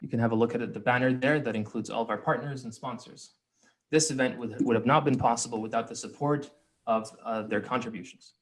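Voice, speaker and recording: A man's voice: medium pitch, brisk. A man hosting an online event, giving formal thanks to sponsors and handing over to a co-host in a polite manner. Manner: polite, formal, with small restarts. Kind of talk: formal opening remarks.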